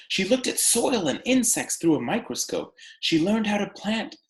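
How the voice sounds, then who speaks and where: Man reading aloud, slow and lively-pitched. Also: echoey room. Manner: deliberate storybook phrasing. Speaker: teacher reading aloud to students. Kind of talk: children's read-aloud with casual asides.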